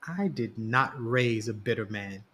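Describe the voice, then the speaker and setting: Man doing a steady dad voice, firm and earnest, disappointed but loving. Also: mid-range voice, unhurried, breathy edge. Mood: gentle, firm. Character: firm, loving middle-aged father.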